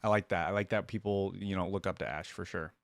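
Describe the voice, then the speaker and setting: A man's voice: deep voice, fairly even intonation, fast talker. A man hosting a reaction video, talking casually and earnestly like an enthusiastic fan.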